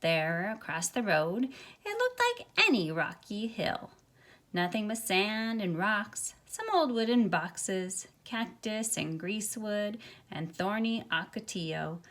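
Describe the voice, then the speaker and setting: A middle-aged woman reading a picture book aloud to young listeners in an expressive, measured storytelling way. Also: mid-range voice. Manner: expressive, measured storytelling with drawn-out phrases and softened asides. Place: small carpeted room at home, indoors. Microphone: phone at arm's length.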